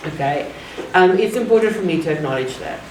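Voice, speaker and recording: A woman from South Africa with an Afrikaans accent, giving an earnest, measured public talk with deliberate pauses. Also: deep voice, animated. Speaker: guest speaker. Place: art gallery. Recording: roomy, distant mic, faint ambient noise.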